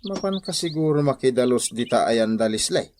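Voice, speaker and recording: Boisterous Ilocano village tippler, played by a man, teasing and very lively, radio comedy, noisy recording. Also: medium pitch, normal speed, natural voice. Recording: noisy radio drama track.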